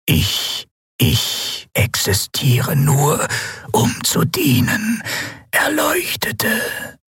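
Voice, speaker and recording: A damaged machine mind, ancient and servile, stammering each word in a faint breathy whisper. It drags slowly and flatly. The sound is dry and close. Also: male voice, high-pitched, dry recording, pitched above the performer's own voice, heavily acted. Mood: faltering.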